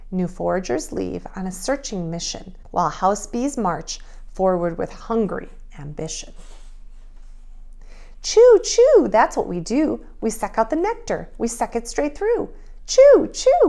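A woman preschool teacher reading and instructing young children in an expressive, child-directed way. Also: on the high side, creaky. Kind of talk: children's read-aloud and drawing lesson.

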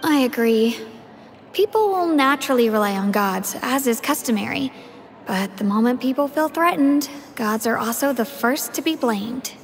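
High-voiced, polite young palace messenger. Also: female voice, lively intonation, slight background noise. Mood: measured, concerned. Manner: polite, measured, formal. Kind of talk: video game voice acting.

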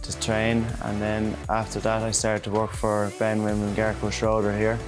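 A young man in his mid-twenties with a lowish, very level voice. He speaks as a rider in a documentary interview, and music plays clearly behind him. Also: monotone, moderate pace. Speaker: working rider. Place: large indoor riding arena. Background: background music.

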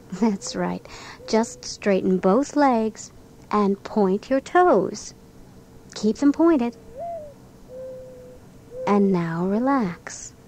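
Slow, gentle woman guiding bedtime relaxation. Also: mid-range voice. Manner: gentle, soothing, unhurried instruction. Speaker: guided meditation narrator for children. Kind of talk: guided bedtime relaxation and storytelling. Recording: clearly noisy recording with background ambience.

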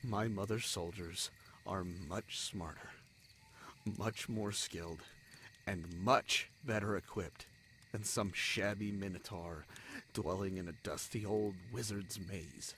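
A man playing an anguished, self-sacrificing young spider-folk lover in a romantic audio drama, halting and pleading in manner. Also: low-pitched, a bit bright, slight background noise, exaggerated voice. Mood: grim warning.

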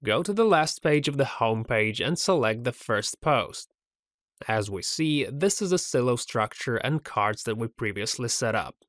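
A man presenting a scripted software tutorial voice-over, upbeat and giving step-by-step instructions. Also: mid-range voice, expressive, a little slow, no echo, studio quality.

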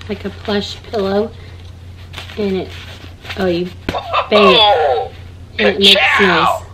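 A woman in her thirties with a hoarse voice, chatting casually to camera about her kids' stocking gifts in a log-home bedroom. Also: medium pitch, slow, very noisy. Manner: chatty, upbeat, showing items. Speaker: vlogger.

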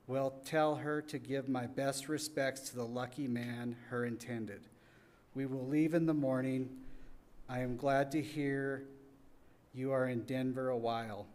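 A man's voice: medium pitch, steady tone, a little slow, darkish tone. A middle-aged man reading an old letter aloud into a podium microphone in an indoor gallery. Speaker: readers theater reader. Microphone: gooseneck podium microphone.

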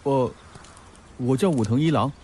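An actor playing a shy, gentle young Japanese male student in a radio drama, speaking hesitantly. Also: mid-range voice, measured pace, a little hiss or hum, natural voice. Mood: shy, grateful.

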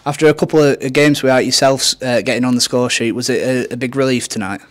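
A man's voice: medium pitch, fairly even intonation, quick pace. A man working as a club interviewer, putting post-match questions to a player in a conversational way. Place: indoors, off camera.

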